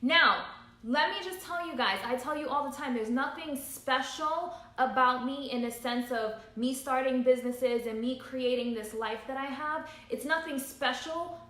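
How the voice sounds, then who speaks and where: A woman's voice: sits high, fairly bright tone. Earnest woman in her thirties, roomy echo. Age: 30s. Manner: earnest and emphatic, repeats key points. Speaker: business coach. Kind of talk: motivational livestream talk.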